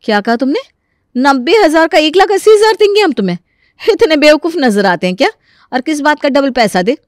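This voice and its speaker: Woman as high-voiced, sharp-tongued scolding landlady. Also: expressive, fairly quick, dry recording, clean studio sound. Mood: confrontational. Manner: scolding, exclamatory. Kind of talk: Hindi comic story dramatization.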